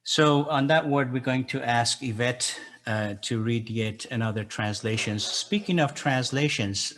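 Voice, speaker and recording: An older man, a native Persian speaker, giving an informal explanatory talk as an educator in a halting, reflective way. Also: mid-range voice, a bit bright. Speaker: educator and translator leading a poetry gathering.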